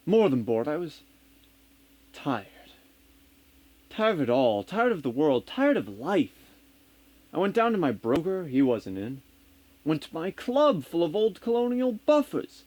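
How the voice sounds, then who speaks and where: A man's voice: fairly high pitch, wildly swooping pitch, measured pace, long pauses, a little mellow, heavily acted. A man playing a bored, world-weary upper-class Englishman of thirty-one from the 1930s, theatrical and pompous. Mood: weary self-pity. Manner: theatrical, pompous, melodramatic self-pity. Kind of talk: comic stage monologue.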